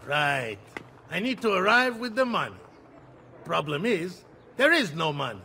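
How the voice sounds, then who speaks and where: A man's voice: a little high, very animated, measured pace, creaky, pushed and bright, slight background noise, full character voice. An actor playing a nervous, sardonic Italian nobleman in debt, with an Italian accent, in a video game cutscene. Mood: anxious, exasperated. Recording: background music.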